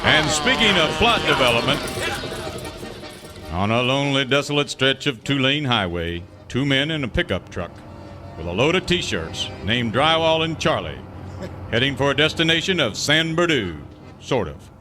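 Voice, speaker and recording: A man's voice: mid-range voice, sing-song, normal speed, music in the background. A man narrating a parody of a melodramatic old-time radio serial as its announcer.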